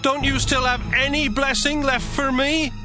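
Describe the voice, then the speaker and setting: An actor playing a hot-tempered, wronged firstborn son, emotional and pleading, in a dramatized scripture story. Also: male voice, high-pitched, a little slow, over music. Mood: anguished pleading. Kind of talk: dramatized scripture reading with music.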